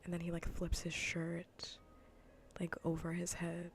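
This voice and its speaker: Soft whispery lady streamer, lowish gravelly voice, rambly and playful, up close on the mic. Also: monotone, a little slow, slight background noise. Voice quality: soft, breathy, often near a whisper. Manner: playful, rambling, half-whispered casual talk. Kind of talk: soft-spoken ASMR gaming livestream chat. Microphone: close-up ASMR streaming microphone.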